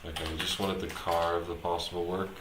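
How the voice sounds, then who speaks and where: A man thinking aloud as he live-streams his programming work, talking casually and haltingly, muttering to himself as he goes. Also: low voice, level tone. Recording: roomy echo, clear background noise, typing.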